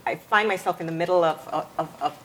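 Woman with a lowish voice interviewing an author, long rambly tentative questions, bit of background noise. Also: a little fast, fairly bright tone, poor audio. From West Indies. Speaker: interviewer, fellow novelist. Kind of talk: conversational literary interview.

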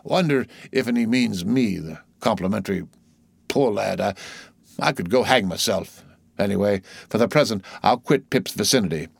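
A man's voice: fairly low pitch, animated, moderate pace, vocal fry, breathy edge, no room sound, clean studio sound, exaggerated voice. A man voicing a jocular old sailor who mutters exclamatory asides to himself in a theatrical storybook reading. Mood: uneasy.

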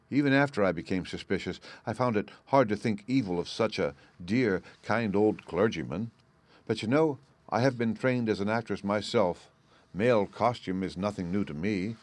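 Male reader voicing a clever woman's letter; measured, low, dry. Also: no room sound, close to an everyday voice. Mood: composed.

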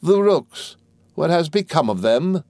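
A man's voice: fairly high pitch, big pitch swings, a little slow, darkish tone, dry recording, voice pushed up. A man voicing a domineering, brusque older English aunt in an audiobook, with emphatic, commanding delivery. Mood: scornful. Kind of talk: audiobook character voice.

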